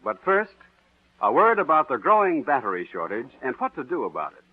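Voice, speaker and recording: A man's voice: medium pitch, wildly swooping pitch, fairly quick. A man reading a scripted sponsor message as a 1940s radio announcer, instructive and emphatic. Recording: tinny, narrow-band vintage radio recording.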